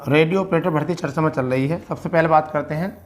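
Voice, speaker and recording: Higher-pitched man explaining to students. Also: fast talker, faint music behind. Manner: repeats key phrases for emphasis. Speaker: exam coaching teacher giving recruitment updates.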